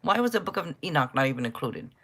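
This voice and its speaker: A woman's voice: sits low, big pitch swings, fast, fairly bright tone, husky. An older woman doing a casual livestream tarot reading, chatty and blunt, interrupting herself and swearing freely. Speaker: tarot reader.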